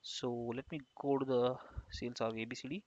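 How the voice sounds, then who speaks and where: A man's voice: medium pitch, fairly even intonation, a little fast, a little mellow, no room sound. Man narrating a tutorial, dull lo-fi sound. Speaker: software instructor. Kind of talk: screen-share tutorial narration, unscripted. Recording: dull, lo-fi close microphone.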